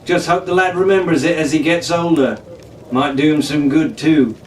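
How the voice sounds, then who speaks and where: A man's voice: fairly high pitch, steady tone, moderate pace, close to an everyday voice. A man voicing a cocky, cheerful teenage pirate captain with a breezy, swaggering, commanding manner. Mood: sly, knowing. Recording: roomy, distant, noisy home recording.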